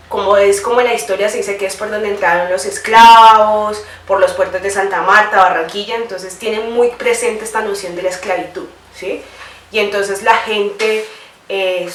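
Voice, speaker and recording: A woman explaining history in an interview, hesitant, with tag questions. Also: mid-range voice. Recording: echoey room, mic at a distance.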